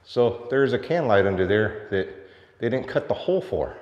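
Thirties male farm vlogger, animated, phone mic outside. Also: fairly low pitch, normal speed, no echo, highs cut off. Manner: chatty, animated, coos at his dogs. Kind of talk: casual vlog chat. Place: outdoors on a farm. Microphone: phone at arm's length.

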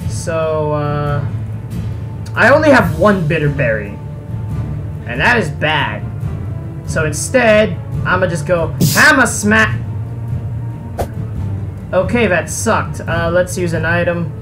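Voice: mid-range voice, lively intonation, slow pace, pauses often. A gamer giving casual gameplay commentary in a sarcastic, foul-mouthed, grumbling way. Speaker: let's player. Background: game music.

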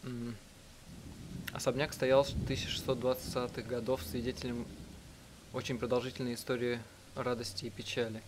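A man reading out a live translation of a visual novel in a halting, self-correcting way. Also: mid-range voice, level tone, noisy background. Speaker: let's player reading out translations. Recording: music behind the voice. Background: game music.

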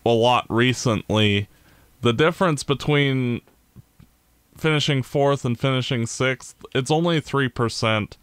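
A man co-hosting a podcast, explaining things step by step in a measured way. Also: medium pitch, fairly bright tone, clean tone, no room sound. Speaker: podcast co-host. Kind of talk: casual sports chat.

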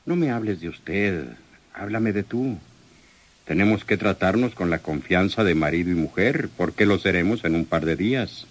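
An actor playing a domineering, smooth-talking male crime boss with condescending manner in an old radio soap opera. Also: low-pitched, moderate pace. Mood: self-satisfied, courting. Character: menacing, possessive male crime boss, self-satisfied suitor.

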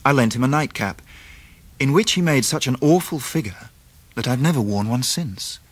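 An earnest young gentleman narrating his own life in a radio play. He is sensitive, polite and wryly literary, with a husky voice of middling pitch. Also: expressive, normal speed, no echo. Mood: wistful reflection. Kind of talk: dramatised first-person narration, 1990s radio drama.

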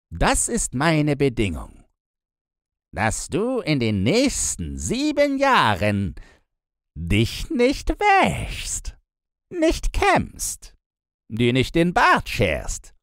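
A man voicing a sly, bargaining Devil in a fairy-tale audiobook, mocking and commanding, with a theatrical, drawn-out delivery. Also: a little high, a bit bright, no room sound, studio quality, pitched above the performer's own voice, heavily acted.

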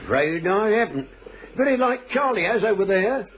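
A tinny old radio drama recording has a roadside café man. He is high-pitched, casual and obliging, with chummy clipped phrases. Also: very animated, normal speed. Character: chummy, obliging working-class male roadside café owner. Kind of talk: British radio thriller acting.

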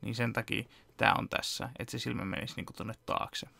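A man's voice: on the low side, gravelly. A man in his thirties casually walking through his fan-dub editing project from home, rambling and chuckling. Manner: relaxed, rambling, chuckles often. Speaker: fan dub editor and vlogger. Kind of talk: casual behind-the-scenes walkthrough. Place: home room with computer setup.

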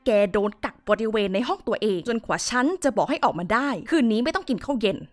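A woman's voice: a little high, sing-song, fast, soft background music. A woman voicing a stern, angry mother scolding and commanding in a storybook tale.

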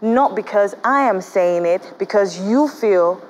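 A young Nigerian woman, a guest on a studio talk show, explains her views in a measured, cautious way on a lapel mic. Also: medium pitch, animated, a little mellow.

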